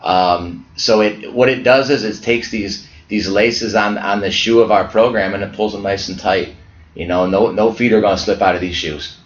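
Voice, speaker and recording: A man who coaches high school basketball, from Ohio, speaking earnestly as an interview guest and often restarting his words. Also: steady tone, moderate pace. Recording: echoey dull lo-fi audio.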